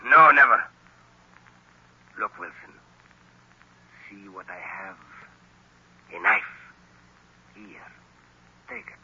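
An actor playing a formal, imperious hypnotist professor, a scheming villain in a 1930s radio drama. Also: male voice, lowish voice, lively intonation, slow pace, long pauses, sharp tone, slight background noise, heavily acted. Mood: hypnotic menace. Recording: muffled old 1930s radio recording.

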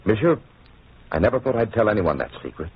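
An honourable, courteous nobleman prisoner in a vintage radio drama. His voice is low and very husky, and the recording is narrow and muffled. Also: big pitch swings, quick pace, full character voice. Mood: indignant, courteous. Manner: courteous, conscientious. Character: earnest, honourable French nobleman, wronged prisoner. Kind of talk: old-time radio drama acting.

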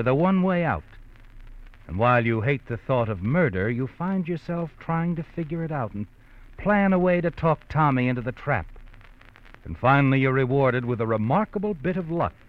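An actor playing an ominous, all-knowing narrator who addresses the hero in an old radio thriller. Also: male voice, medium pitch, lightly acted. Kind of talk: dramatic second-person narration, old-time radio. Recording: muffled old radio recording.

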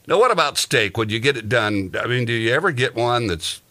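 A man with a bright, forceful voice hosts a casual comedy chat. His pitch swings in a lively way as he rambles and jokes through everyday anecdotes, at an ordinary male pitch. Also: a little fast. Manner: joking, rambling, tells exaggerated everyday anecdotes. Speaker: podcast host.

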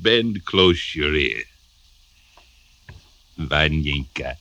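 An actor playing a boastful, domineering Russian blackmailer drinking at an inn, swaggering and emphatic, in a radio drama. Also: male voice, very deep voice, lively intonation, very slow, vocal fry, pushed and bright, full character voice. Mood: confiding. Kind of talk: classic radio drama acting.

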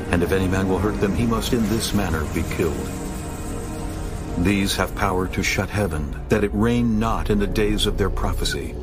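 Low-voiced solemn male scripture narrator. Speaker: audiobook narrator. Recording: music behind the narration.

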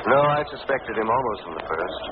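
A man's voice: medium pitch, animated, brisk, lightly acted. Man playing commanding crime fighter, thin noisy recording. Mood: calm, knowing. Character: shrewd, calm male crime-fighting avenger, confident and commanding. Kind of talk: 1940s radio acting. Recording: noisy, narrowband vintage radio recording.

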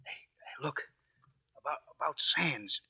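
A man's voice: fairly high pitch, animated, unhurried, gravelly, pushed and bright, breathy edge, heavily acted. A man playing a dying young wounded sailor, weak and gasping, in 1940s radio acting. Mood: weak, proud. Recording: dull 1940s radio broadcast recording.

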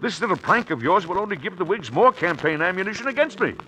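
An actor playing a dignified, middle-aged president and father in old-time radio drama, formal, measured and easily exasperated. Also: high voice, sing-song, pushed and bright, full character voice, rough recording. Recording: old radio recording, faint hiss.

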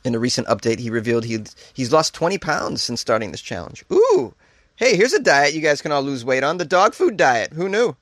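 A man's voice: fairly high pitch, sing-song, a little fast, a bit bright, dry recording. A man hosting a comedy show, reading odd news stories aloud and riffing on them with jokey, mock-incredulous asides, laughing at his own jokes. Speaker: host.

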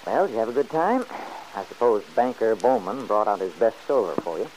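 A man's voice: on the low side, sing-song, a little fast, a little mellow, rough recording. An actor playing a stubborn, good-hearted, folksy man who grumbles plainly in an old-time radio serial. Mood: amiable. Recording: hissy old radio recording.

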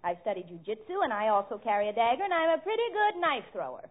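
A woman's voice: on the high side, very animated, quick pace. An actress playing a brazen, mock-indignant, man-hungry young single woman in a 1940s radio comedy. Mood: boastful. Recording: narrow-band vintage radio recording.

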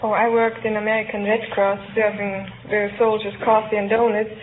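A woman's voice: mid-range voice, little pitch movement, a little fast. Phone-like old broadcast, slight noise, young woman, Austrian accent, native German speaker, chatty contestant. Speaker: quiz show contestant. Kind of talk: chatty interview answers. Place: studio stage before live audience. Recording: tinny old radio, hiss, audience.

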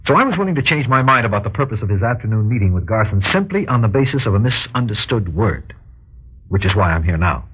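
An actor playing an earnest, insistent private detective in an old-time radio drama, speaking in a husky, urgent, persuasive way with formal address. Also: male voice, on the low side, sing-song, fast. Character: earnest, insistent male private detective warning his client. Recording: dull, lo-fi vintage radio recording.

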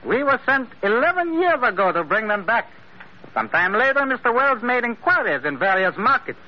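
High-pitched, courteous little villain. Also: male voice, big pitch swings, moderate pace. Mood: measured, explaining. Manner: formal, courteous, stilted. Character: small, polite, calculating Chinese villain with gun. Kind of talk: old-time radio adventure acting. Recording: muffled old radio recording.

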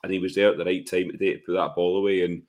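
A Scottish man with a very deep voice, gone hoarse from shouting, gives his blunt take on a football match. He speaks casually and with opinion, and he asks rhetorical questions before he answers them himself. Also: little pitch movement, racing. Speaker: football podcast co-host.

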